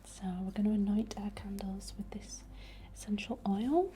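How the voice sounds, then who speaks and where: A woman whispering breathily and gently as an ASMR host, chatting and guiding a healing ritual in an unhurried way. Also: medium pitch.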